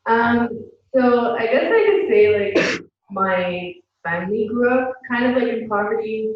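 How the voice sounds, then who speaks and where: Hesitant woman on a call, slow, sounds far from the mic in a really echoey room, dull audio. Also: a little high, lively intonation. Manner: hesitant, many "um" and "like". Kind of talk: sharing in a group meeting.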